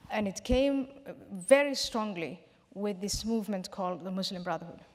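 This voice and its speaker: A woman from Somalia, a guest in a public question-and-answer session, explaining her points in a measured way with some restarts. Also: medium pitch. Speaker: panel guest. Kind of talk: public Q&A discussion. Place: large hall. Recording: reverberant hall.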